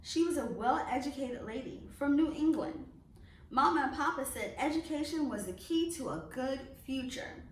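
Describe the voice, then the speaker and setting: A woman reading a children's picture book aloud as a storyteller, expressively and with deliberate emphasis on phrases. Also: high-pitched, expressive. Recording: roomy echo, distant mic, faint noise.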